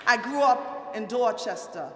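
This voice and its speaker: Bright, forceful woman speaking in an echoing hall. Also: on the high side, animated, measured pace, a little hiss or hum. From Montserrat. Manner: playful, rhetorical questions to the audience. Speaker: guest speaker, political chief of staff. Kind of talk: informal motivational public speech. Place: large hall at a live event. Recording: echoey hall with faint crowd.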